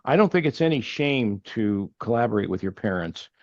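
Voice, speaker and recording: A man's voice: fairly low pitch, lively intonation, brisk, a little mellow, no echo. Older Texan man, earnest interview guest, rambles and restarts; dull, dry line. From Texas. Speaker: podcast guest, family banking partner. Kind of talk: casual interview conversation.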